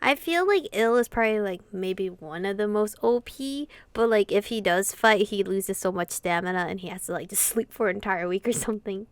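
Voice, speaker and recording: A woman's voice: medium pitch, brisk, hardly any pauses, clean tone, no echo. A woman gaming streamer reading a visual novel aloud, breaking into casual, giggly, chatty commentary between lines. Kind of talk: casual let's-play commentary mixed with read-aloud narration.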